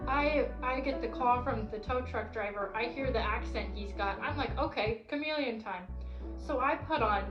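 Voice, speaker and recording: A woman's voice: fairly high pitch, moderate pace, dull audio. Woman telling a story to a friend, talks over them a lot, echoey, music going behind. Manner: lively storytelling, cuts in often. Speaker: friend on a hike. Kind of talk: casual chat. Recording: roomy sound with loud music under it.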